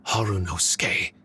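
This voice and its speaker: An actor playing a solemn, devoted ancient guardian spirit in a video game, speaking in a formal, measured and earnest way. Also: male voice, sits low, bright, forceful tone, exaggerated voice. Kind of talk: video game cutscene voice acting.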